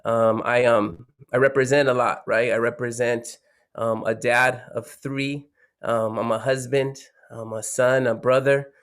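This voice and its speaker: A man from San Diego introduces himself on a panel, speaking haltingly and circling back to restart his thoughts. His delivery is flat, with very little rise and fall in pitch. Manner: halting, many fillers and restarts, warm reflective. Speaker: educator, panel guest. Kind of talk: unscripted introduction.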